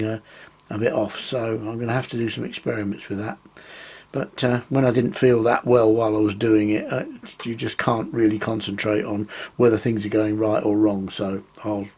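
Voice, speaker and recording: A man with a croaky voice after a cold, casually explaining his model railway work, pausing often with ums. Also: mid-range voice, a little mellow. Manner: rambling, hesitant, many ums. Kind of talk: casual hobby tutorial.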